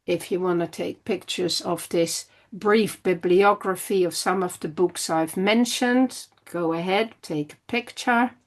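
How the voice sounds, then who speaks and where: An older woman closing a philosophy workshop on a video call, speaking in a calm, encouraging way. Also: on the low side. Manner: slow, calm, encouraging delivery. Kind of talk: closing a lecture, then leading Q&A. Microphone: online video call.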